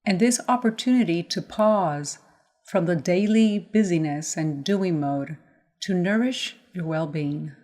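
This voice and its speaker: A woman guides a mindfulness body scan at a very slow pace. Her voice sits a little low for a woman. She gives gentle instructions in measured phrases, leaving pauses between them.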